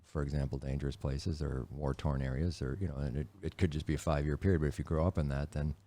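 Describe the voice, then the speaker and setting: A man from Wisconsin hosts a podcast with a very low, mellow voice. He is curious and strings several questions together while chatting casually. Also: fairly even intonation, fast talker. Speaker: podcast host. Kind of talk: casual interview chat.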